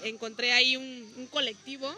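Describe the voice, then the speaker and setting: A woman comedian from Veracruz with a Mexican accent, chatting casually in a slangy, animated way and swearing freely. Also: high-pitched, normal speed. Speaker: stand-up comedian, guest. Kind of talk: casual podcast chat.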